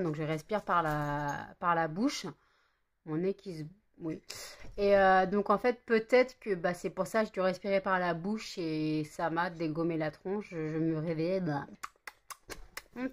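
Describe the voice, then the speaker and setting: A woman, chatting casually in a home vlog, tired and a bit stuffy with a cold, rambling with many fillers and self-corrections. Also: lowish voice. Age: 30s. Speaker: vlogger.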